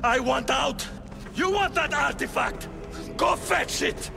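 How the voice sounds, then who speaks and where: Cornered ex-cultist crime lord, high-pitched, slow menacing rants, ruthless, game cutscene, music behind. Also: male voice, creaky, heavily acted. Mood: frantic, furious. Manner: ranting, menacing, slow delivery. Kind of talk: video game cutscene acting. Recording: music under the voice.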